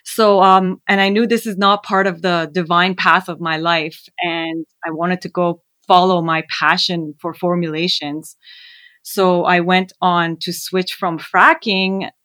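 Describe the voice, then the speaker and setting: A woman speaks with a slightly low voice, earnest and a little hesitant, often pausing on fillers. The sound is dry and close, with no room echo. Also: fairly even intonation, a bit bright. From born in Iran, raised in Canada. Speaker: guest, skincare founder. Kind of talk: casual interview conversation.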